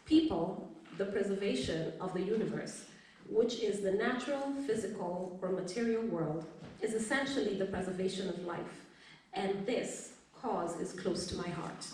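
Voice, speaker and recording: A woman's voice: medium pitch, a little mellow. Woman reading aloud in an echoey room. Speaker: guest reader. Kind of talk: reading a literary piece aloud. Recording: very echoey room, distant mic, faint noise.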